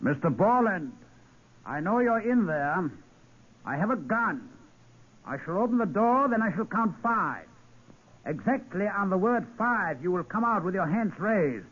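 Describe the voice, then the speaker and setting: An actor playing a menacing, mock-polite, cruel admiral in an old-time radio drama. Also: male voice, high voice, big pitch swings, fairly bright tone, breathy edge, exaggerated voice. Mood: threatening. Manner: deliberate, mock-polite, threatening. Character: menacing, suavely cruel male German admiral. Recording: muffled, noisy vintage radio recording.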